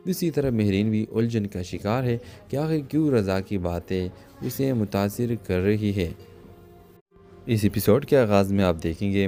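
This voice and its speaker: Man, very low voice, dark mellow tone, scripted drama recap narration.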